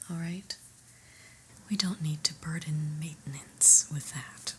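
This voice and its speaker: A woman plays a new female office boss in a whispered ASMR roleplay, exasperated and prim. She speaks very slowly in a fairly low whisper, with dry, deadpan condescension. A faint noise sits behind the voice. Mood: dry disapproval. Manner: deadpan, hesitant, politely condescending.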